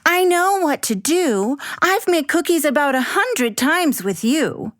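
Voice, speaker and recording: A woman's voice: high-pitched, big pitch swings, a little slow, a bit bright, no echo, studio quality, voice pushed up, full character voice. A woman voicing an eager, earnest little girl in a children's storybook, with a wide-eyed, sing-song manner. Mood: eager, pleading.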